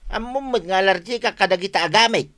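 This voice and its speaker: Sharp-voiced grumbling Ilocano husband. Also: male voice, high-pitched, fairly quick. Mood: uneasy, grumbling. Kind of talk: Filipino radio comedy drama acting.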